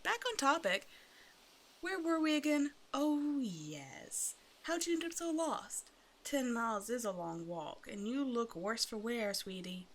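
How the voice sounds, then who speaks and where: A woman's voice: on the high side, a bit bright. A woman voicing a hungry half-snake naga in an ASMR roleplay, teasing and condescending, with mock-sweet menace. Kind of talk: ASMR roleplay audio drama, addressing the listener.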